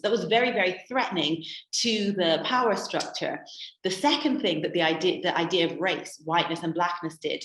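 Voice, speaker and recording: A woman, a guest author explaining history in a measured, lecturing way with frequent ums. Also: lowish voice, a bit bright. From Ireland. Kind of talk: explanatory interview talk. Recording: roomy echo on the voice.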